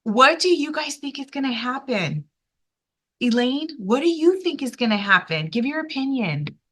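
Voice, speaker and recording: A woman hosting a children's storytime, talking directly to young listeners with excited, exaggerated reactions and questions. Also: high voice, normal speed. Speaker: children's storytime channel host. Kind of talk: animated read-aloud commentary talking directly to young children.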